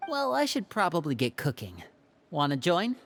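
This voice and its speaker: A man playing a confident young elder brother in a game, easygoing and coaxing, gently probing. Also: on the high side, wildly swooping pitch, moderate pace, slight background noise, full character voice. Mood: calm. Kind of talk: game dialogue. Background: game music.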